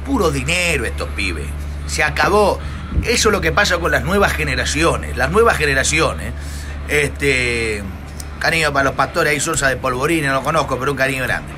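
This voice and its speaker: Chatty Argentine pastor outdoors on phone. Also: male voice, medium pitch, animated, normal speed, background noise. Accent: Argentine Spanish. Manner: chatty, jokey, exclamatory with frequent "eh" tags. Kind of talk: casual livestream chat. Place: outdoor terrace in hot sun. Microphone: phone at arm's length.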